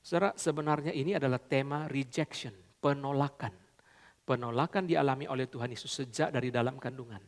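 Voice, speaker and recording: A man preaching a sermon, swinging between loud high emphasis and low quiet asides, often slipping into English and casual slang. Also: brisk, in a large hall. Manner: repeats "saudara" constantly, mixes in English phrases and colloquial Jakarta slang. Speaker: pastor.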